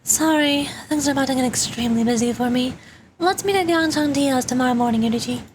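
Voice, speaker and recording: A woman's voice: sits high, quick pace, lightly acted. A woman voicing a confident, reproachful female agent, reading her game dialogue aloud over noisy stream audio. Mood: brisk, reassuring.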